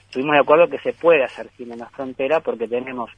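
Male guest over a phone line, mid pitch, thoughtful and rambling. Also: fast, husky, no room sound. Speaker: guest filmmaker. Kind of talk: conversational interview over the phone.

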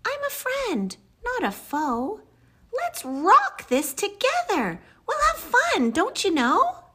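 A woman voicing a tiny squeaky shy mouse. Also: huge pitch swings, slow, sharp tone, full character voice. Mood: friendly, eager. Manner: squeaky, hesitant, stammering.

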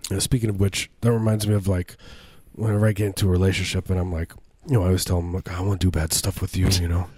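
A man with a low baritone voice, co-hosting a podcast and riffing on absurd jokes in a deadpan, rambling way with many fillers. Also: racing, slightly rough, no room sound. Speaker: podcast co-host. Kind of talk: deadpan absurd comedy banter.

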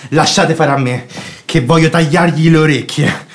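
Radio drama, male actor as boastful young son, fiery and theatrical, voice a little high. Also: fairly even intonation, normal speed, close to an everyday voice. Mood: outraged, impetuous. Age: young adult. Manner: declamatory, impetuous. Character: hot-headed young son, boastful avenger.

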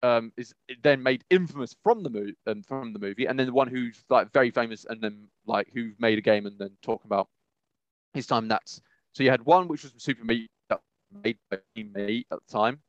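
A man's voice: medium pitch, a little fast, dry recording, lo-fi sound. A man hosting a podcast, chatting casually and opinionatedly in a rambling, emphatic way, often repeating phrases. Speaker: podcast host. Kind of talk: casual opinionated chat about films.

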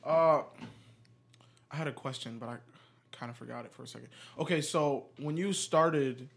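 A man's voice: medium pitch, normal speed, gravelly. A teenage boy hosting a casual conversation, asking loose questions and often trailing off. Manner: relaxed, asks questions loosely, trails off and restarts. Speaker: host. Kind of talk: casual interview chat.

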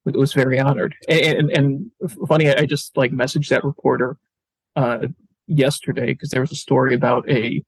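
Male interview guest, husky and creaky, halting delivery full of repeated words, close dry sound over a video call. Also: medium pitch, little pitch movement, dry recording, rough recording. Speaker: podcast guest. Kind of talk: casual interview talk.

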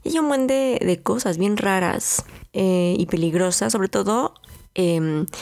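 A young woman with a Mexican accent hosting her own podcast, her voice a little hoarse from the cold, talking in a chatty, rambling way with fillers. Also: on the low side, a little mellow. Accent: Mexican Spanish. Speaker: podcast host. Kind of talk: casual solo podcast monologue.

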